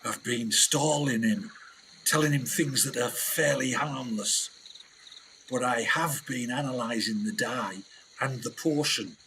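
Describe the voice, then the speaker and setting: An actor playing a rough, indignant British scientist on a 1920s jungle expedition, speaking in emphatic slang for a radio play. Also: male voice, medium pitch, measured pace, sharp tone, a little hiss or hum, rough recording. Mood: grave, secretive. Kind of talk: dramatic 1920s adventure radio acting.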